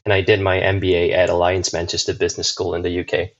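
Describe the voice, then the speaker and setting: A man's voice: low-pitched, completely flat delivery, quick pace. A man from Singapore, a podcast guest, answering casually with many fillers and restarts. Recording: dull lo-fi sound.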